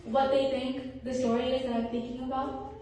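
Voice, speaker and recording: Female children's presenter, high-pitched, addressing an audience; roomy, far-off sound with faint noise. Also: flat delivery, moderate pace, darkish tone. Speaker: puppeteer and presenter. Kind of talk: children's Bible lesson to live audience. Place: room with a live audience. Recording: very echoey room, distant, faint noise.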